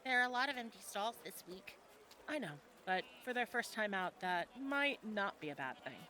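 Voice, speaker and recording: Woman playing friendly teasing fantasy tavern gal, bright voice, chatty guide to a newcomer, audio rp with background ambience. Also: medium pitch, expressive, normal speed, slight background noise. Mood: guiding. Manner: conversational, gently instructive. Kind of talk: audio roleplay acting, adventure ASMR.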